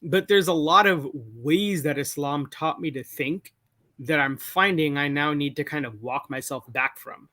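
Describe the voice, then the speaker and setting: Young man, halting fast restarts. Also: fairly high pitch, bright, forceful tone, no echo. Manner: halting, many restarts and self-corrections. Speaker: caller. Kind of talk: casual call-in conversation. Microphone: call-in over a video call.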